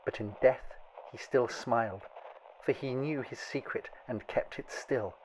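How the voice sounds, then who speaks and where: A man narrating a gothic horror audiobook in a grave, deliberate, theatrical style. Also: mid-range voice, a little mellow, slight background noise, rough recording.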